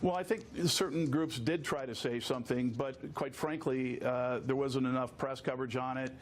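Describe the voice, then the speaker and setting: Male American expert guest. Average pitch, explanatory and halting, unscripted answers, slight low noise in the recording. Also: fairly even intonation. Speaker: guest, former air traffic controller and lawyer. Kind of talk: unscripted news interview answers.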